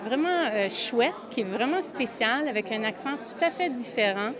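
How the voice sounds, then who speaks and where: A woman's voice: fairly high pitch, lively intonation, normal speed. A woman telling a personal anecdote, often repeating herself as she searches for words. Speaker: interviewee. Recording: crowd chatter behind.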